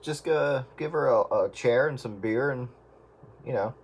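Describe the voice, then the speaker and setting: A man's voice: mid-range voice, a little slow. A man making casual check-in chat as a radio hobbyist. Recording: loud radio static and noise.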